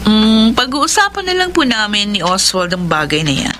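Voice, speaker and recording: An actress playing a dutiful, composed young Filipina daughter in a radio drama. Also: female voice, medium pitch, sing-song, moderate pace, fairly bright tone, a little hiss or hum. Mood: apologetic, dutiful.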